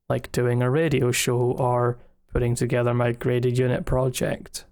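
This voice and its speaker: A young man narrates in a mid-range voice with very little rise and fall. His delivery is measured and candid, like a scripted look back on his own experience. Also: medium pitch, darkish tone. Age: young adult. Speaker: student filmmaker narrating own video. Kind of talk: scripted retrospective voice-over narration.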